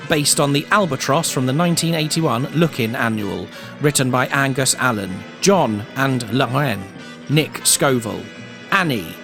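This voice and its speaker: A man reads scripted credits as an announcer, in a medium-pitched voice. His delivery is formal and orderly, with music clearly playing behind him.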